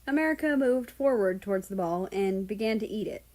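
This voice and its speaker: A woman's voice: medium pitch, lively intonation, fairly quick, mellow, rough recording. A woman reading a third-person fan fiction story aloud as a narrator.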